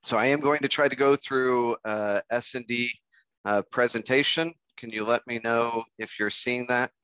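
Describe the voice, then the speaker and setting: A man's voice: mid-range voice, steady tone, normal speed, a bit bright, smooth, dry recording. An American man in his forties giving an informal business presentation on a video call, conversational and self-deprecating. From Kansas City, United States. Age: 40s. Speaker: coffee industry research presenter. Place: indoor room, on a video call. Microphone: video call microphone. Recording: dull, compressed video call audio.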